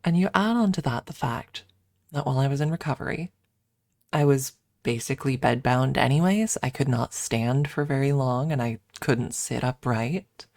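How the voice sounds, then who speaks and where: A woman's voice: extremely low pitch, lively intonation, moderate pace, a little mellow, no room sound. A woman streamer chatting casually on an ASMR stream, rambling and candid as she explains things, close to the microphone. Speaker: livestream host and ASMR streamer.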